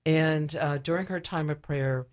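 A woman speaking as a ministry director and guest in a conversational radio interview, explaining things a little haltingly. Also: deep voice.

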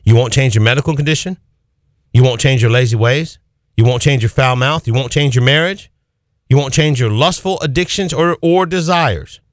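A man's voice: mid-range voice, normal speed, no room sound, clean studio sound. A man preaching an Easter sermon as pastor, pressing his points with swinging emphasis and repeated phrases.

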